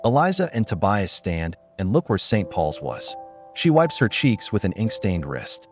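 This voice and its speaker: A man reading a literary story in a measured, solemn manner, as a scripted narrator. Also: on the low side, sing-song, faint music behind.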